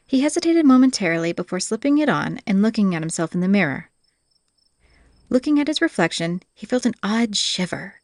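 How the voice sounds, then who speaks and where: A woman's voice: medium pitch, very animated, fairly quick, no room sound, clean studio sound. A woman reading scripted fantasy audiobook narration as the storyteller.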